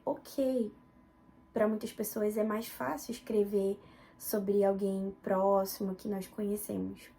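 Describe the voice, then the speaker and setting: A woman's voice: mid-range voice, warm tone. A young woman with a northern Brazilian accent from Belém, giving a student presentation as a personal account. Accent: northern Brazilian Portuguese, Belém. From Belém, Pará, northern Brazil. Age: young adult.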